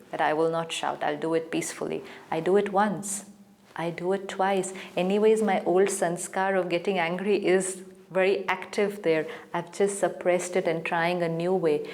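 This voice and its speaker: A woman with an Indian English accent, calmly explaining spiritual ideas in a gentle, measured way as the teacher on a TV talk show. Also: lowish voice. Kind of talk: calm spiritual guidance in a TV talk.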